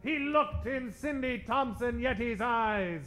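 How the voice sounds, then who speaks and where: A man narrates like a radio serial announcer, high in pitch and theatrical. His voice rings in a roomy space, with music clearly behind him. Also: takes it slowly, fairly bright tone. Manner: dramatic announcer delivery. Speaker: host and narrator.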